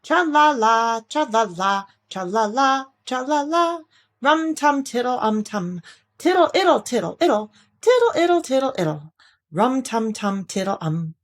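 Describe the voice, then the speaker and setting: Woman voicing a naive, friendly storybook bear, lively pitch. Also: takes it slowly, full character voice. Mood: cheerful humming. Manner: hums nonsense syllables, puzzled and polite. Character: friendly, naive, greedy male toy bear. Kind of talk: children's storybook character voice.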